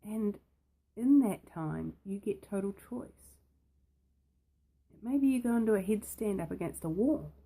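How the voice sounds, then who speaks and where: A woman with a croaky voice talks straight to the viewer as a host. Her manner is playful and repetitive, and she keeps asking questions. Her pitch sits in an ordinary middle range. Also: lively intonation, measured pace, long pauses, mellow. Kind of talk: casual talk to camera.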